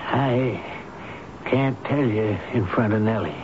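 An actor playing a devoted, sentimental old elevator operator with a folksy, halting way of talking, in 1970s radio drama style. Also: male voice, on the low side, dull audio. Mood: troubled, resolute. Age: older. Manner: folksy, plain-spoken, halting. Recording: band-limited, noisy old radio broadcast.

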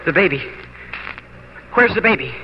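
A man's voice: sits high, expressive, moderate pace. A man acting a devoted, eager young farmer husband in a 1940s radio drama, fervent and emotional. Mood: earnest, emotional. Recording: dull, noisy 1940s radio recording.

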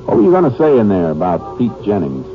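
Low-voiced, terse Texas Ranger, tinny old radio. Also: male voice, huge pitch swings, quick pace, over music. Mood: probing, calm. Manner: terse, businesslike questioning. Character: calm, persistent male Texas Ranger investigating a murder. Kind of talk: old-time radio crime drama acting.

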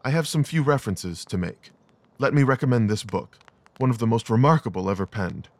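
A man playing a brilliant, coolly rational gentleman detective in an audio drama, speaking in a precise, clipped, analytical way. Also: mid-range voice, lively intonation, a little fast, no echo, natural voice. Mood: cool, detached.

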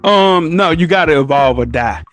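A man's voice: a little high, lively intonation, moderate pace, a bit bright, soft background music. A man in his thirties with a Southern US accent, chatting casually and telling stories in an emphatic, slangy way. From southern United States. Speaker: podcast guest. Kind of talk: casual chat.

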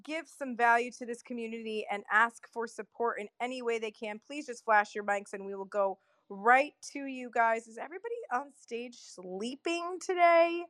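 A woman's voice: a little high, normal speed, hardly any pauses, a bit bright, dry recording, clean studio sound. A woman moderating a live chat room as host, speaking in an informal, direct, casual way.

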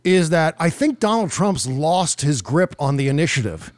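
A man's voice: a little high, lively intonation, moderate pace, dry recording. A man hosting a casual political talk show, speaking emphatically and opinionatedly, with some swearing. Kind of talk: casual political commentary, conversational.

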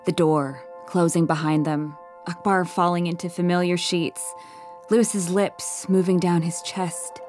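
A woman's voice: lowish voice, little pitch movement, a little slow, a little mellow. Woman narrating a story over music.

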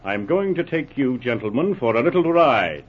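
An actor playing a smug, menacing smuggling boss, mock-polite and taunting, in a 1940s radio drama. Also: male voice, mid-range voice, very animated, moderate pace, slightly rough. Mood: smug menace. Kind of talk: 1940s radio villain acting. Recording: muffled old radio recording.

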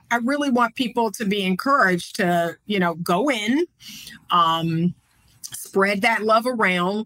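A woman who is a counselor, giving measured and encouraging advice in a podcast conversation. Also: medium pitch, a bit bright, dry recording, poor audio. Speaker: guest counselor.

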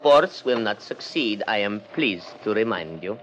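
Casting: boastful, imperturbable sleuth, formal and deliberate, very varied intonation, 1950s radio serial. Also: male voice, lowish voice, expressive, muffled recording, noisy background. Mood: calm, boastful. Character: pompous, unflappable foreign male confidential investigator. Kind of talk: 1950s American radio drama acting.

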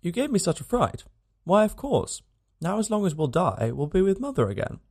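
A small, high, earnest little girl of five, as a male narrator puts her on, guileless and simple in her folksy grammar. Her voice sits well above the reader's own, with a gravelly edge to it. An audiobook voice, plainly childlike. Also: very animated, normal speed, a little mellow, heavily acted.

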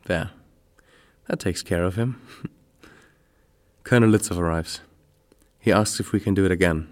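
A man's voice: low voice, a little slow. An actor playing a wry young German fighter pilot, reading his wartime diary in a dry, sardonic way. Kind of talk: dramatised first-person diary reading.

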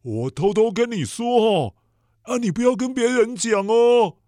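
High-pitched excitable Taiwanese uncle. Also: male voice, unhurried, no room sound, studio quality. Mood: chatty, confiding. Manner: exclamatory, folksy, stammering in indignation. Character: excitable old Taiwanese uncle, garbage-truck storyteller turned space hero. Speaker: children's story show host. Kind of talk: children's radio play acting. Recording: music loud behind the voice.

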